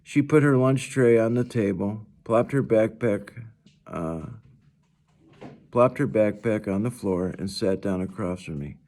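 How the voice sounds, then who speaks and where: A man's voice: on the low side, unhurried, a little mellow. A man reading a children's novel aloud as a teacher would, narrating in the first person and sometimes repeating a phrase.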